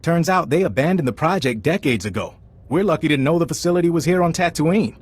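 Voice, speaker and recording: An actor playing a frank intelligence agent giving an informal, wry briefing in a video game. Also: male voice, fairly high pitch, lively intonation, quick pace, breathy edge, no echo. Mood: urgent, dry.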